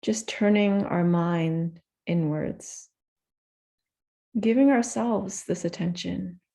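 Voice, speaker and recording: A woman guides a meditation in a lowish, gravelly voice with a lot of creak. She takes her time, speaking very slowly in short, gentle phrases and leaving soft pauses between them.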